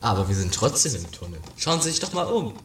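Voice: mid-range voice, big pitch swings, quick pace, lightly acted. A performer playing a flustered, officious man in an improvised horror-comedy radio play, theatrical and stammering. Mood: uneasy. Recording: very noisy lo-fi home tape.

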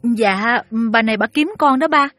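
A woman playing a timid, ashamed young daughter in an audio story, soft and husky with a Southern Vietnamese accent. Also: a little high, normal speed, in a large hall, soft background music, lightly acted. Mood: nervous. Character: timid, ashamed young daughter pleading over her debt. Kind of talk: audio story drama dialogue.

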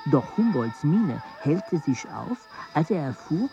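An actor voicing a male companion who narrates past expedition events in a measured way, in a radio play. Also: on the high side, sing-song, mellow, muffled recording, exaggerated voice. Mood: calm.

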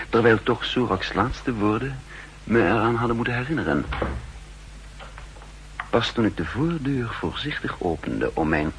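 An actor playing a shrewd, calm spymaster in a 1960s radio play, speaking in a measured, deliberate way. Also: male voice, mid-range voice, low-quality recording. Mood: tense narrating. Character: shrewd, calm male spy posing as counterintelligence chief. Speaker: lead character and narrator. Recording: hissy, humming 1960s radio recording.

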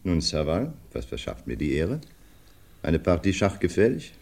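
Jovial, unruffled male doctor for crime radio drama; low, animated voice. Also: moderate pace, gravelly, heavily acted. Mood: amused, unruffled. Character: genial, teasing male doctor, inspector's chess partner. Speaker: actor in a crime radio play.

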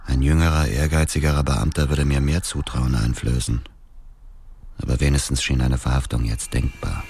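A man's voice: very deep voice, level tone, normal speed, vocal fry, a little mellow, husky, no echo, full character voice. An actor playing a bitter, vengeful grieving man, terse and guarded, in a radio drama. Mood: cold bitterness. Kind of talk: radio drama, dialogue and first-person narration.

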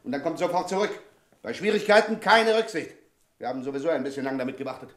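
An actor playing a coolly deceptive, commanding robber leader in a German radio play, speaking in a brisk, curt way. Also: male voice, on the high side, lively intonation, slight background noise, lightly acted.

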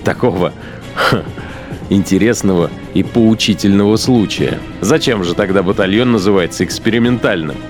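A man's voice: lowish voice, moderate pace, close to an everyday voice. An actor playing a cynical, menacing male battalion commander in a radio drama, musing coldly with coarse, sneering contempt. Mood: cold, sardonic. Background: music behind the voice.

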